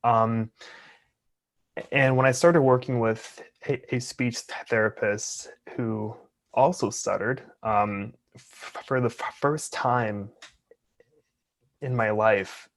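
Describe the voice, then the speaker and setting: A man's voice: medium pitch, steady tone, slow, pauses often. A man in his twenties, an interview guest, who talks openly and earnestly while stuttering with repeated sounds and blocks. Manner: open, earnest. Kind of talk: casual interview talk.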